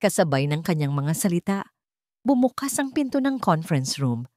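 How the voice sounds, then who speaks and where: Low-voiced woman narrating a dramatic story. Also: big pitch swings, normal speed, dark tone, no echo, clean studio sound.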